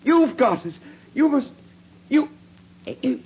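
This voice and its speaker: A man's voice: very high voice, big pitch swings, slow, breathy edge, heavily acted. An actor playing a terrified man forced to take poison, frantic and gasping in broken phrases, in a radio drama. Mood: choking agony. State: panicked, choking. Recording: dull, muffled radio recording.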